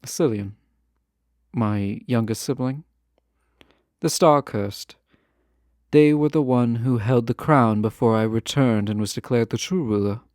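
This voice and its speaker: A man playing a refined, guarded yet fond fantasy ruler in a romantic audio roleplay, speaking in formal, measured phrases with teasing asides. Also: medium pitch, a little mellow, dry recording, studio quality, natural voice. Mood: reflective, wry.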